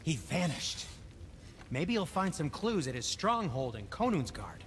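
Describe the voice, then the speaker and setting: A grand, articulate dwarf craftsman from a fantasy game. His theatrical voice sits fairly high, over faint ambient noise. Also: sing-song, unhurried. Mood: grandiose. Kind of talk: video game character acting.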